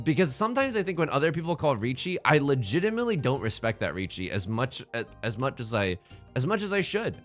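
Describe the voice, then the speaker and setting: A man's voice: on the high side, fairly bright tone. A man from China hosting a let's play, commenting on his own game in a jokey, rambling way with playful internet slang. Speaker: gaming channel host.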